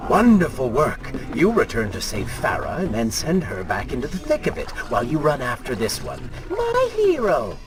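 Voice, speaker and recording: A sneering dark alter ego, wildly swooping voice. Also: male voice, medium pitch, wildly swooping pitch, normal speed, hardly any pauses. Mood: sarcastic, goading. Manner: sarcastic, taunting, goading. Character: mocking, cynical dark alter ego of a prince. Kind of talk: video game cutscene acting. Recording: loud music over the voice.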